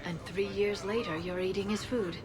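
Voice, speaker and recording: An actress playing a poised alien woman in a video game, speaking in a measured, wry way. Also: medium pitch, steady tone, music in the background, close to an everyday voice. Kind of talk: video game character acting.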